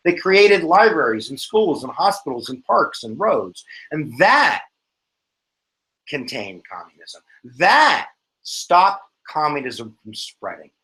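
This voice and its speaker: Lively, jokey American history teacher. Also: male voice, on the high side, poor audio. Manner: jokey, animated, slangy. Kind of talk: casual exam review lesson.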